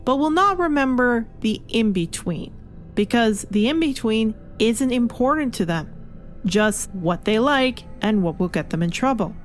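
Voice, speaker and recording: A woman reviewer delivering scripted video essay commentary in an opinionated, argumentative way. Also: mid-range voice. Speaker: comic book reviewer.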